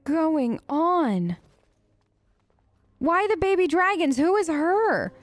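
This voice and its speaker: A woman's voice: very high-pitched, very animated, takes it slowly, no echo. A young woman giving chatty, playful role-play commentary while playing a game, close on the mic. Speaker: let's play host.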